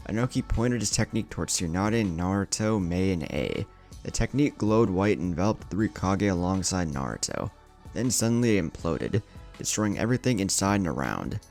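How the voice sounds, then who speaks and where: A man's voice: lowish voice, vocal fry, darkish tone. A man narrating an anime fan story in a dramatic scripted style.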